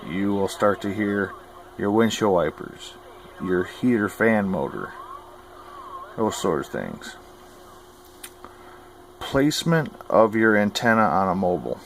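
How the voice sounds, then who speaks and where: Low-voiced man giving folksy hobby advice. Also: animated, slow, creaky, pauses often, a little hiss or hum. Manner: folksy, plain-spoken, opinionated. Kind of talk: informal instructional talk.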